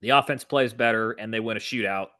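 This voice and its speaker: A man's voice: lowish voice, quick pace, pushed and bright. A man co-hosting a sports podcast, talking casually in a blunt, emphatic, exasperated way. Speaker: podcast co-host.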